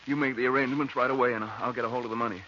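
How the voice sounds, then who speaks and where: Male actor as nervous husband, medium pitch, bright, devoted, 1940s radio drama, noisy recording. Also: steady tone, racing, muffled recording, close to an everyday voice. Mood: distressed. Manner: nervous, hesitant, plain-spoken. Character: anxious, devoted American husband.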